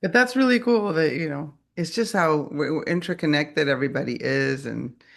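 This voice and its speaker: Relaxed podcast host, casual man. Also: on the high side, moderate pace, creaky. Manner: relaxed, casual, affirming interjections. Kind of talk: casual conversational interview.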